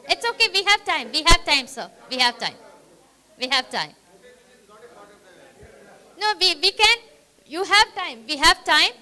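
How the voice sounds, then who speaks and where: A woman leading an interactive training session in a meeting hall. She rallies the audience with energetic questions. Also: very high-pitched, unhurried, long pauses. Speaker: workshop trainer.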